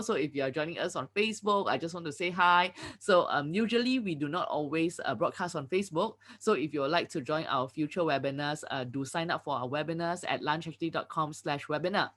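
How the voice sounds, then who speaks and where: A woman's voice: sits low, fairly bright tone. A woman hosting a live online webinar in an upbeat, chatty way, full of "you know, like, uh" fillers, over a video call mic. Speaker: webinar host.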